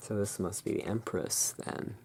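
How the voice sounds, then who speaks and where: A person playing the soft-spoken, musing owner of a gothic curiosity emporium in a halting, hushed ASMR roleplay. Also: low voice, fairly even intonation, a little hiss or hum. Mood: musing, relaxed.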